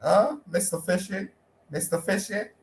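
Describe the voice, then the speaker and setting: A man's voice: high voice, a little slow, slight background noise. A British man in heated group banter, combative and swearing freely.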